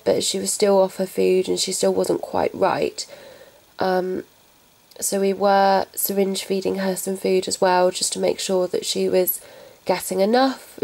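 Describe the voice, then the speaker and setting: A young woman vlogging about her pets, talking softly and haltingly while getting over a cold. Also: medium pitch, creaky, rough recording. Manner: soft, halting, subdued. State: recovering from a cold. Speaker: pet vlogger. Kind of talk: casual talk to camera.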